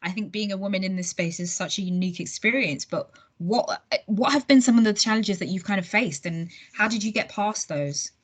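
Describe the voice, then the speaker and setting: A woman's voice: mid-range voice, a little fast, few pauses. A woman interviewer asking questions in a chatty, friendly way, hedging often with "kind of" and caveats. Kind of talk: casual conversational interview.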